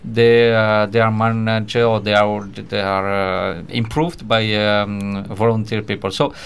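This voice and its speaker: A man from the Country, speaking English as a guest in a radio studio, halting with many fillers and restarts. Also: on the low side, little pitch movement, vocal fry, smooth. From Basque Country. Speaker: project coordinator, radio guest. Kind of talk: casual studio chat.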